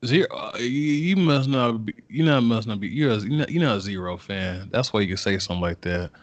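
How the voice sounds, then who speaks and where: A man's voice: fairly low pitch, expressive, a little fast, dry recording, highs cut off. A man co-hosting a casual podcast chat in a teasing, playful way. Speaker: podcast co-host.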